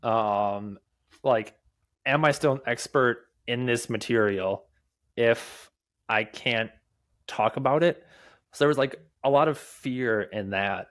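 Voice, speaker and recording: A young man who stutters, answering interview questions candidly as a podcast guest, with halting restarts, repeated words and many fillers. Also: medium pitch, fairly bright tone. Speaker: podcast guest, mobile developer and conference speaker.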